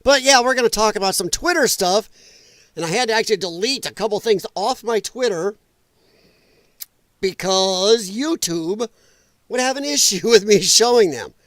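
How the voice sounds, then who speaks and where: Male stream host, high voice, dry room sound; slightly rough, rambling, self-mocking banter with the chat. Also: lively intonation, normal speed, a bit bright, no echo. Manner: rambling, self-deprecating, chatting to viewers in the chat. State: rough voice, occasional coughing. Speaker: livestream host. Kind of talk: casual late-night livestream chat. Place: home room at night, animals nearby.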